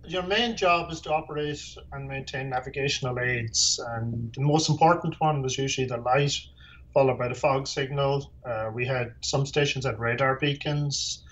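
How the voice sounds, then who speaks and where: A man's voice: mid-range voice, moderate pace, a bit bright, highs cut off. A middle-aged man with an Irish accent, a former lighthouse keeper answering interview questions in a plain, matter-of-fact way with dry humor. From Ireland.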